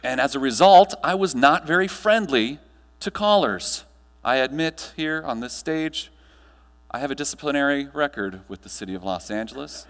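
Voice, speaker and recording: An American man delivering a rehearsed personal-story keynote as a motivational speaker on stage, speaking in deliberate, emphatic short phrases. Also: medium pitch, expressive, fairly bright tone. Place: large indoor hall, speaking on stage. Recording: echoey hall with mains hum.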